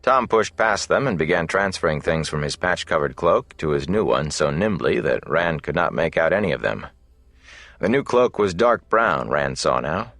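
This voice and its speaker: A man with a low voice reads a fantasy novel aloud. His narration is measured and dramatic. The recording is dry, with faint music underneath. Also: fairly bright tone, dry recording. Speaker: audiobook narrator.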